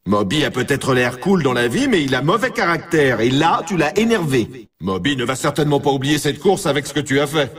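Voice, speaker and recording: A man playing an excitable race announcer in a snowboarding video game, emphatic and talking straight to the player in a familiar, needling way. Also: mid-range voice, a little fast, husky, recorded from a distance. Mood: teasing warning. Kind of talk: hyped sports commentary for a video game.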